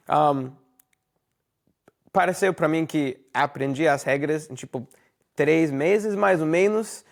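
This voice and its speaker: Slow, gravelly, hesitant host. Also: medium pitch. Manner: slow and hesitant, many fillers, speaks Portuguese as a learner. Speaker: interviewer, host. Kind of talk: casual interview chat.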